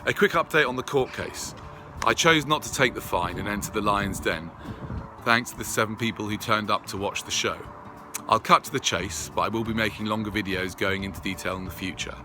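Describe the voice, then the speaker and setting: A man earnestly reading out a prepared personal update to his followers in a deliberate way. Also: lowish voice, music in the background. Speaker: video creator addressing followers.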